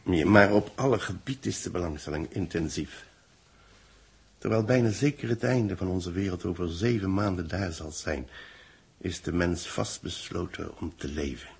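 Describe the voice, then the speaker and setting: A man in a radio drama playing a grave, weary middle-aged official who speaks in solemn, measured announcements. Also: sits low, creaky, breathy edge, exaggerated voice. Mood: grave, resigned. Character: grave, weary male official announcing tragedy to a doomed world. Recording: vintage 1960s radio drama recording.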